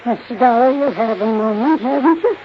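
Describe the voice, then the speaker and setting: A frail, gracious old grandmother, acted by a woman in a 1950s radio play. Her voice is weak and breathy, as if near the end. The recording is muffled, with clear noise throughout. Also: on the high side, lively intonation, a little fast, lightly acted. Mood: gentle, weary. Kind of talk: 1950s radio drama acting.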